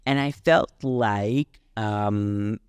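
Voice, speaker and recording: A man's voice: fairly low pitch, drawn-out words, clean tone, no echo, studio quality. Chatty Swiss man telling a story. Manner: chatty, storytelling, addresses viewers as you guys. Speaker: drag queen podcast co-host. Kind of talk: casual chat reviewing a show.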